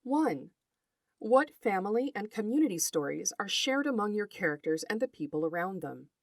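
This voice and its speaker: Woman podcast narrator, scholarly scripted read, precise and deliberate phrasing, lively pitch movement, dry close sound. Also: dry recording. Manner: precise, articulate, lecturing with deliberate enumerations. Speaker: podcast host.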